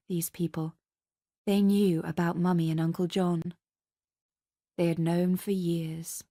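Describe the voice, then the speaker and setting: A woman's voice: lowish voice, darkish tone, no room sound, studio quality. A woman reading a dramatic scripted story as narrator. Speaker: narrator and reader.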